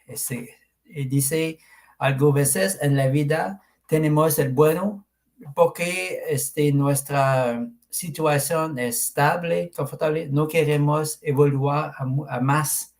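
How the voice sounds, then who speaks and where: A man from Quebec whose first language is French, speaking with a French Canadian accent and searching haltingly for words on a video call. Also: on the high side. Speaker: participant. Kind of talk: video call self-introduction.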